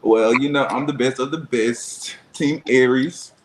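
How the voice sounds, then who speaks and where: A man's voice: medium pitch, measured pace, slight background noise, poor audio. A man in his twenties being interviewed, chatting casually in a candid, self-assured way. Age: 20s. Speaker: web-series cast member being interviewed. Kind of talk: casual chat.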